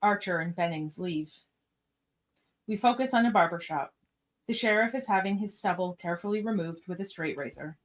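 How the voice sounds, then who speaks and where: A woman's voice: fairly low pitch, normal speed. A woman reading scripted stage directions as the narrator of a podcast radio play.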